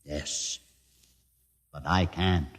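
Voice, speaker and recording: An actor playing a solemn, learned outcast mutant leader in an old radio drama, speaking in grand, deliberate oratory with dramatic pauses. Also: male voice, deep voice, steady tone, exaggerated voice. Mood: grave, measured. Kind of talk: golden-age radio drama acting. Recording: reverberant old-time radio recording.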